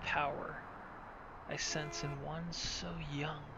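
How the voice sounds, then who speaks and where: Male gamer, slightly high-pitched with some fry, reading and muttering through a playthrough, noisy recording. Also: flat delivery, dull audio. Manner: halting read-aloud with muttered asides. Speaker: gamer playing and narrating a roleplay playthrough. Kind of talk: gameplay commentary, reading on-screen text aloud. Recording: noisy recording with loud background ambience.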